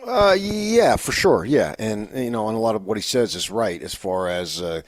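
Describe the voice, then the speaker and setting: A man co-hosting a sports talk show, deliberately building his points and questions step by step. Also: on the low side, expressive, dry recording. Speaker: sports radio co-host.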